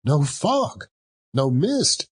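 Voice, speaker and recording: A man narrating an audiobook as a theatrical storyteller, with a measured, dramatic delivery. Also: on the high side, big pitch swings, husky.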